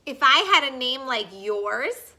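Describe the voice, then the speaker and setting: A woman's voice: high-pitched, unhurried, sharp tone, slight background noise, full character voice. A woman voicing a snooty, tactless schoolgirl mouse in a picture book, pointed and know-it-all. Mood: snooty, mocking.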